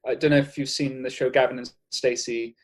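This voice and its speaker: A man from Wales with a light Cardiff accent, chatting as a guest in a relaxed interview. He talks in a middle-pitched voice, thinking aloud with many small restarts and fillers. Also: brisk. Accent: Welsh, Cardiff. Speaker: guest artist. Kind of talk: casual interview chat.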